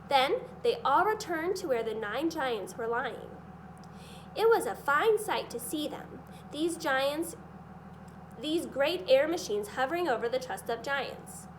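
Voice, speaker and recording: A woman reading a children's story aloud as expressive scripted narration. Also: high-pitched, slight background noise, rough recording. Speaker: storybook reader.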